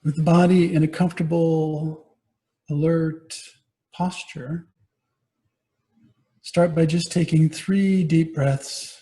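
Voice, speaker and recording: A man guiding a meditation as a teacher, giving gentle, unhurried instructions with pauses between phrases. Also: on the high side, fairly even intonation. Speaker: meditation teacher, Buddhist monastic. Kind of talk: guided body scan meditation.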